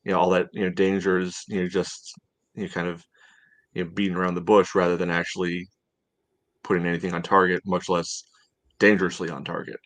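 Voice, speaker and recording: A man co-hosting a soccer podcast, recapping casually and haltingly, leaning on "you know" a lot. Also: low-pitched, little pitch movement, fairly bright tone, dry recording. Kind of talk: casual match recap chat.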